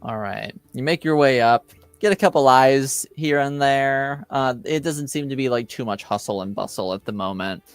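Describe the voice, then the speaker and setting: A man narrating a tabletop roleplaying game as game master, casual and halting as he searches for words. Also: medium pitch, clean tone, no echo, soft background music.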